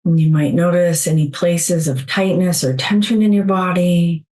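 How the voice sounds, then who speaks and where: A woman leading a guided meditation in a soothing, gentle, unhurried way, leaving long pauses between phrases. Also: lowish voice, steady tone.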